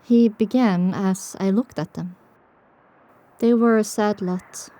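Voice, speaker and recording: Woman as a mellow, reflective storyteller. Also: mid-range voice, fairly even intonation, slow pace, natural voice. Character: reflective, sorrowful female pony survivor telling her story. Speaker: narrator. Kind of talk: dramatic first-person scripted narration.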